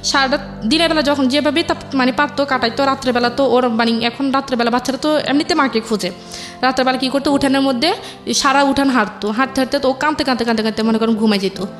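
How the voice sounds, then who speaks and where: High-voiced young Bangladeshi woman, fast-talking. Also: a bit bright, faint music behind. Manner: colloquial dialect forms, repeats herself, quotes family members. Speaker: interview guest. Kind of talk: personal life story told conversationally.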